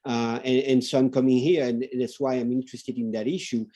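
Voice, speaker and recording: A man's voice: mid-range voice, little pitch movement, fairly quick, warm tone. Belgian man with a French accent. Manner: searching for words, earnest questioning. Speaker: researcher, panel participant. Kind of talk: online roundtable discussion. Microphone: video call.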